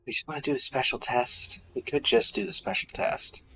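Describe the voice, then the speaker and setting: A man's voice: medium pitch, fairly quick, bright, forceful tone, low-quality recording. A man giving casual gameplay commentary as a streamer, half-muttering his thoughts aloud to himself. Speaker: game streamer. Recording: music behind the voice.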